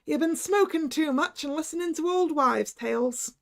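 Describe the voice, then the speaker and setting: Hearty raw-boned Irish doctor, teasing and brusque, read by a woman, gravelly edge. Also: high voice, moderate pace, pitched above the performer's own voice.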